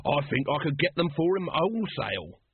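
A shameless, cheerful street huckster from a comic fantasy audiobook, played by a male narrator, full of wheedling patter. His husky voice bobs up and down in pitch, heard through a phone-like band. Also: very animated, a little fast, no echo, exaggerated voice. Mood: salesy. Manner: pleasant, colloquial sales patter.

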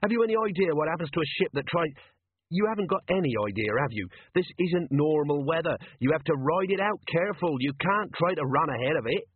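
A man voicing a panicky, exasperated sea captain who moans and protests in excitable colloquial speech, in a storybook reading. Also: a little high, a little fast, breathy edge, dry recording, narrow, tinny audio, pitched above the performer's own voice. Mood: frantic wailing. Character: panicky, exasperated, moaning male ship's captain.

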